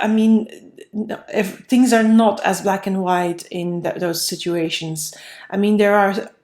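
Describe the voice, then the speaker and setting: A woman's voice: medium pitch, darkish tone. A woman giving a casual tarot reading to viewers in a rambling, conversational way, repeating phrases for emphasis. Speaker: tarot reader, host. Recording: roomy, echoey room sound.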